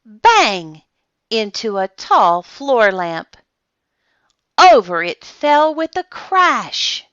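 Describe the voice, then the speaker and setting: Bright-voiced woman reading a children's storybook expressively. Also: on the high side, sing-song, dry recording, lo-fi sound. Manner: dramatic, deliberate pauses and emphasis. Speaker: read-aloud storyteller.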